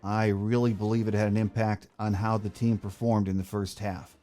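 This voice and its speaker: A man's voice: on the low side, flat delivery, normal speed, dark tone, soft background music. A man hosting a sports podcast, giving his commentary and interviewing a guest. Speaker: podcast host.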